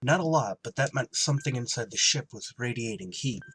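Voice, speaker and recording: A man's voice: medium pitch, expressive, a little fast, close to an everyday voice. A man acting a wry, duty-bound shuttle pilot in a scripted retro radio drama. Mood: grave narration. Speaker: actor and first-person narrator in an audio drama. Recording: clear background noise.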